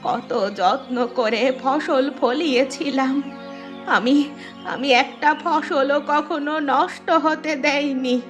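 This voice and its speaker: A woman's voice: high-pitched, a bit bright, soft background music. A woman playing a lamenting, scolding old village grandmother in a cartoon, drawing out her words. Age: older. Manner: lamenting, drawn-out wailing and scolding. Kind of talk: Bengali moral-story cartoon voice acting.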